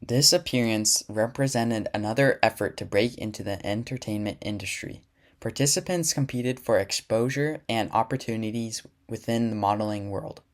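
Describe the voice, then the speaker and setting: A man reading scripted documentary narration in an even, measured way. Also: lowish voice.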